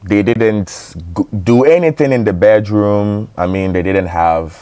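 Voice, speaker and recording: A man's voice: fairly low pitch, a little slow, mellow, clear, resonant tone, no echo. Ghanaian man hosting, dry close sound. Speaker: podcast host. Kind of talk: casual podcast conversation.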